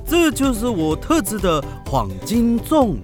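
An earnest village cook played by a voice actor in a children's radio drama. The proud delivery is animated and varied, with faint music behind. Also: medium pitch, a little slow. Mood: proud, eager.

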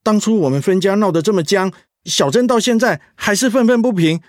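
Actor as troubled middle-aged man, higher-pitched voice. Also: brisk, no room sound, studio quality, lightly acted. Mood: torn, aggrieved. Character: troubled middle-aged pharmacist, estranged son, torn and sighing. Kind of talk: radio drama acting.

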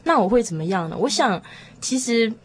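A woman's voice: a little high, animated, a little fast, breathy edge. A woman with a Taiwanese Mandarin accent sharing thoughts in a casual, tentative, reflective way as a panelist. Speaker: discussion panelist.

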